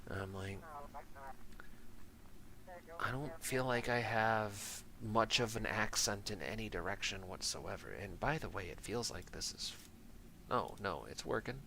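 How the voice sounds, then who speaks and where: A man's voice: on the low side, a little slow, vocal fry, bright, forceful tone, slight background noise, poor audio. A man from Michigan with an American accent, doing casual, jokey gameplay commentary and chatting with viewers in slangy, self-deprecating talk. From Michigan, United States. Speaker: game streamer.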